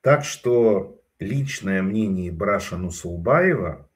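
A man's voice: low-pitched, animated, slow. A man giving casual spoken commentary, telling stories in a digressive way with frequent hesitations.

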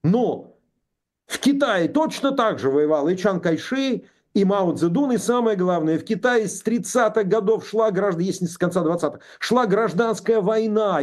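A man in his sixties talking politics, indignant and sarcastic, with halting speech full of pauses and fillers. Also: sits high, darkish tone. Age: 60s. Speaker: political commentator. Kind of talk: political discussion.

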